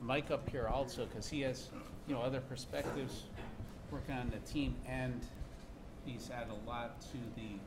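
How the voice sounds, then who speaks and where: A man's voice: mid-range voice, steady tone, normal speed. A man founder wrapping up a presentation to a class, talking loosely with fillers. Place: large classroom, indoors. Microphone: far room camera. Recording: distant classroom recording with room noise.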